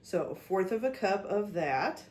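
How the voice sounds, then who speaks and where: A woman's voice: mid-range voice, animated, measured pace, a little mellow. A woman hosting a home cooking video, talking through each step while getting over a cold, checking in with "okay?" as she goes. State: recovering from a cold, voice a bit off. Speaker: cooking vlog host. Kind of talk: casual cooking demonstration. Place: home kitchen. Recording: echoey kitchen room.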